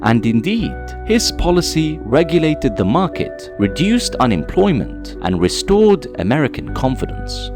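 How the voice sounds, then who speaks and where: A man's voice: a little high, big pitch swings, unhurried, hardly any pauses, music in the background. A man reading scripted documentary narration in a dramatic, emphatic storytelling manner.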